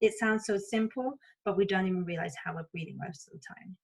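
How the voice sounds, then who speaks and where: A woman's voice: medium pitch, fast, darkish tone. A woman from Thailand talks as a guest in an interview, with a mid-range voice. She is open and reflective, speaking in a loose, conversational flow with the odd "you know". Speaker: interview guest, spiritual coach and healer. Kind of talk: candid, reflective personal interview talk.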